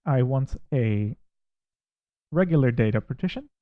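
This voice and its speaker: A man with a Dutch accent talks through a screen recording, steady and methodical, with pointed criticism. His voice sits at an ordinary middle pitch. The sound is dry and a little dull, like a simple home mic. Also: measured pace, no echo. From Netherlands. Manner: critical, methodical, plainly spoken. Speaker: tech reviewer. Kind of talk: screen-recorded software walkthrough.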